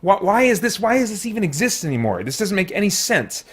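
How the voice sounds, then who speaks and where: A man hosting a casual spoken movie review, rambling along with jokey, animated asides. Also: sits high, quick pace, slightly rough. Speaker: film reviewer and host.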